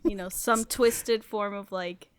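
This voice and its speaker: A woman's voice: medium pitch, fairly even intonation, slow, clear, resonant tone, no echo. A woman hosting a casual group podcast, talking informally and thinking aloud as she goes. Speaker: podcast host putting questions to the group.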